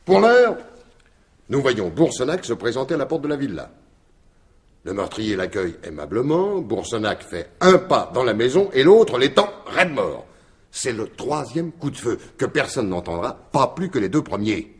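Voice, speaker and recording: A man's voice: medium pitch, big pitch swings, moderate pace, breathy edge. An actor playing a shrewd, insistent detective in a French radio mystery in 1950s style, explaining his theory with emphatic deliberation.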